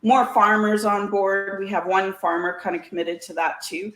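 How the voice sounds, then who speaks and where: A woman's voice: mid-range voice, little pitch movement, moderate pace, fairly bright tone, roomy sound, poor audio. A woman with a Canadian accent, chatting informally as a guest in a casual conversation. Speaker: guest, CB radio community organiser. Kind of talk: casual chat.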